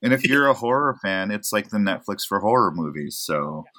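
A man's voice: on the low side, lively intonation, moderate pace, sharp tone. A man in his thirties, an actor from Oregon, chatting casually as a podcast guest, rambling and self-deprecating with many fillers. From Oregon, USA. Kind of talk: casual interview chat.

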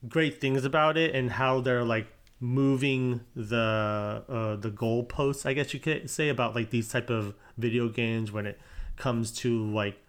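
A man's voice: medium pitch, fairly even intonation, unhurried. A man co-hosting a podcast in casual chat, rambling and correcting himself as he goes. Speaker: podcast co-host.

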